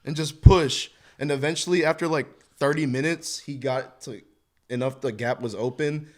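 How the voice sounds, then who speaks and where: A man with a mid-pitched voice tells a story to his friends as a podcast co-host. His talk is casual and slangy, emphatic and full of swearing. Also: normal speed, darkish tone.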